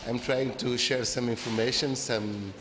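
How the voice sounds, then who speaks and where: A man's voice: medium pitch, steady tone. Man in a reverberant hall. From Portugal. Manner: informal, repeats words, slows to find phrasing. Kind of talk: short self-introduction.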